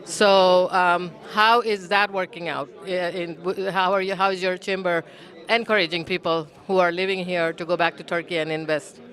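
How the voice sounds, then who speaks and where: A woman's voice: fairly low pitch, steady tone, moderate pace, a bit bright. A woman hosting interviews at an event, chatty and finishing her guests' sentences. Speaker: host. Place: consulate reception hall.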